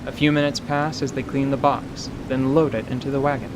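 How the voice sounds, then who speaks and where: A man's voice: mid-range voice, brisk, noisy background. A man narrating a scripted fantasy story as a radio theatre narrator. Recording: steady rain ambience behind voice. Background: rain ambience.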